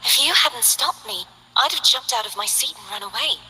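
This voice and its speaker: A woman's voice: a little high, expressive, fairly quick, sharp tone, slight background noise, low-quality recording. A woman voicing a friendly, cheerful young female dancer in a game, chatty and candid. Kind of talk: game voice lines.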